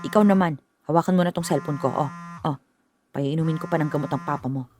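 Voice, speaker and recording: A woman's voice: low-pitched, quick pace, darkish tone, slight background noise, close to an everyday voice. An actress playing a tired middle-aged mother who complains about an extra person in the house, in a radio drama. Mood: complaining. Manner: complaining, weary. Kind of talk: Filipino radio drama acting.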